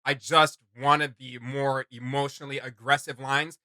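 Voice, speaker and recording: A man's voice: mid-range voice, flat delivery, moderate pace, sharp tone, no room sound, clean studio sound. A man hosting a reaction video, giving casual, emphatic and opinionated commentary.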